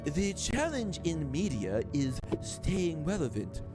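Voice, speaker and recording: A man's voice: a little high, sing-song, takes it slowly. A man giving a theatrical, pompous dramatic reading of a written statement. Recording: played-back video with loud music. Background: music.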